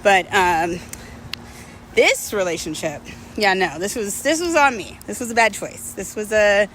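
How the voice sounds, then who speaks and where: A woman of about thirty-seven speaks in a medium-pitched voice, casual and confessional, as if vlogging personal advice. She is candid and reflective, questioning herself as she goes. Faint urban, traffic-like noise sits behind her words. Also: measured pace, fairly bright tone.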